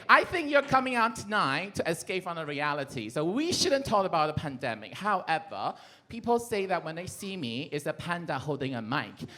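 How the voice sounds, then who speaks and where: A middle-aged man from Taiwan with a Taiwanese accent, performing a playful stand-up comedy set, breaking into shouts and laughter. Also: high voice.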